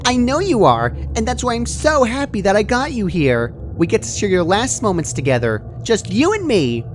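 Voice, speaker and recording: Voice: medium pitch, sing-song, moderate pace, a little hiss or hum, pitched above the performer's own voice, natural voice. A performer voicing a cheerful but deranged female party pony in a story reading, bubbly and sweetly menacing. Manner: giggly, bubbly, casually chiding.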